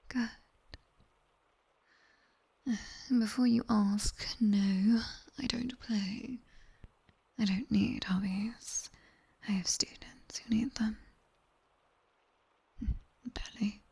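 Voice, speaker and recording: A sharp-tongued British nightmare demoness, played by a woman, tutors in an intimate ASMR roleplay. Her voice is very breathy and mostly whispered, soft and mellow, with some creak at the edges. She gives commands very slowly, with dry, teasing strictness. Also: on the high side, heavily acted. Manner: slow, commanding, dryly teasing. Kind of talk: ASMR roleplay, guided body scan.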